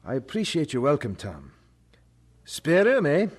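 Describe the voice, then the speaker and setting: Young 18th-century innocent for audio drama: slightly high, breathy-husky, animated, polite and naive. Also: male voice, measured pace, heavily acted. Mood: friendly, candid. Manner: polite, questioning, unworldly.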